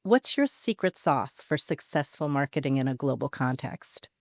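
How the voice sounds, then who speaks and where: Podcast host, mid-pitched, smooth prepared questions, dry sound. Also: lively intonation, moderate pace, dry recording. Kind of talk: podcast interview.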